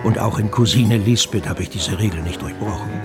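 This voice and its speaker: An actor playing a proud novelist who confides in the listener in a radio play, conversational and gravelly. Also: male voice, lowish voice, a little fast, natural voice. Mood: confiding, self-satisfied. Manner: conversational, self-satisfied, confiding. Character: proud, chatty male novelist presenting his own novel. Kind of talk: first-person narration in German radio play. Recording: music playing under the voice.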